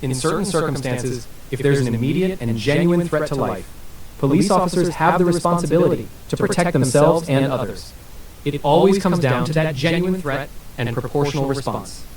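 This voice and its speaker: A synthetic male voice answering as an AI interview guest, smooth and evasive with scripted-sounding replies. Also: a little high, normal speed, darkish tone. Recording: roomy and distant with faint hiss.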